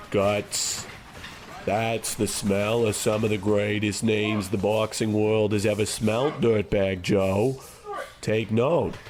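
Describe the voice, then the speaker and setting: A man playing a bombastic, admiring boxing mentor in a radio comedy, savoring his words in a grandiose way. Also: lowish voice. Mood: rapturous. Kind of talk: scripted radio comedy acting.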